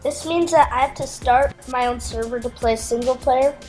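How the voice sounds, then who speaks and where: Female podcast guest, higher-pitched, casual and conversational, music underneath. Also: moderate pace, darkish tone. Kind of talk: casual chat.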